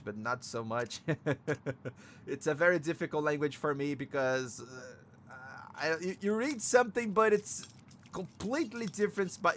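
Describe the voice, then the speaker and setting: A man from Brazil with a Brazilian Portuguese accent, a native Portuguese speaker casting an esports match alone in English, excitable on plays and chatty in between. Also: fairly high pitch, a little hiss or hum. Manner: excitable, quick bursts on plays, chatty asides and laughs. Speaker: esports caster. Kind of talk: solo game commentary and chat reading.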